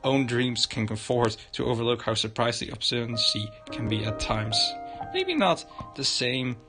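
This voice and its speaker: Male streamer, medium pitch, reading game narration aloud in a stumbling way, very loud music underneath. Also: very animated, moderate pace. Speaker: livestreamer.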